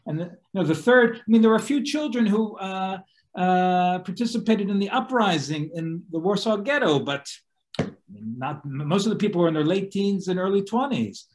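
A man in his sixties hosting a scholarly discussion on a video call from his living room, animated and gesturing, often restarting his sentences. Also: high voice, moderate pace. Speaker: host and lecturer.

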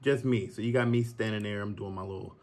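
A man in his thirties giving a relaxed, chatty software tutorial from his home office, recorded on a phone and talking to viewers as y'all. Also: on the low side, fast talker. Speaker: filmmaker teaching a tutorial. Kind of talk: casual screen-recorded tutorial. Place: green screen studio, then home office.

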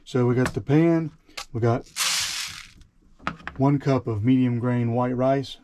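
A man in his forties giving a casual, halting, step-by-step cooking demonstration in a small indoor space. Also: mid-range voice, steady tone. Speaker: vlogger, home cook. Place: small boat galley, indoors. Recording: clear background noise, clicks.

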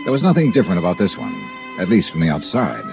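An American actor playing a dry, persistent insurance investigator who also narrates in old radio detective style. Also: male voice, deep voice, wildly swooping pitch, quick pace, vocal fry, narrow, tinny audio, full character voice. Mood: dry, atmospheric narration. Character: hard-boiled male insurance investigator, dry, persistent, unhurried. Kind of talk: 1950s radio detective acting and first-person narration. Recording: band-limited old radio recording with music.

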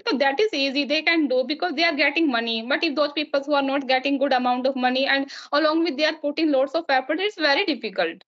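A woman's voice: high voice, fast talker, hardly any pauses, a bit bright. Indian woman chatting on a dull video call. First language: Hindi. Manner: chatty, frequent restarts, laughs between points. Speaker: guest teacher. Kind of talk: casual video-call conversation. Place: small room indoors.

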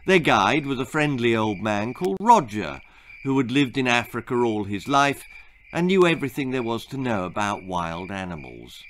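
Animated British storyteller, man in his sixties. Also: medium pitch, moderate pace, slight background noise. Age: 60s. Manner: theatrical, arch. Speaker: author reading his own story. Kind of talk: dramatic children's storytelling. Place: small book-lined room indoors.

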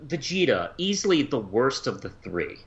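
Animated man thinking aloud, dull audio. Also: mid-range voice. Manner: conversational, halting, thinking aloud. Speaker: podcast co-host. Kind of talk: casual review chat.

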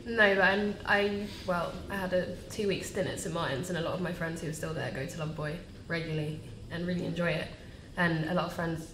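A young woman speaking casually as a fashion panelist, full of "like" and quick asides. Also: lowish voice, reverberant, slight background noise, low-quality recording. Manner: chatty, lots of "like".

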